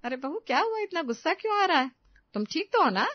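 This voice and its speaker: A woman's voice: medium pitch, expressive, normal speed, dry recording, highs cut off, natural voice. A woman voicing an older Indian mother-in-law, fond but fretful, exclaiming and questioning in a family story. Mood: concerned. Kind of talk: Hindi family story acting.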